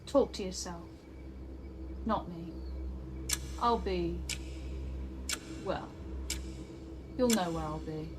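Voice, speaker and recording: An actress playing an eerily calm, taunting young woman in an audio drama, with a measured, needling manner. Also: lowish voice, noisy background. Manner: measured, cryptic, needling questions. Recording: music behind.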